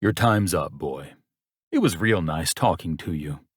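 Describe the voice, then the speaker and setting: A man's voice: low-pitched, expressive, measured pace, breathy edge, dry recording, studio quality, heavily acted. A man voicing a smug, rich older businessman in an audiobook, talking down to someone in a condescending, contemptuous, taunting way. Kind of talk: audiobook character dialogue.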